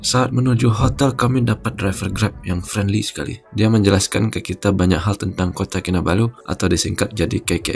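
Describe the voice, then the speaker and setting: A man's voice: fairly low pitch, brisk, gravelly, few pauses, soft background music. A man from Indonesia giving a scripted, informative travel voice-over. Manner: steady, informative delivery. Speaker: vlogger narrating.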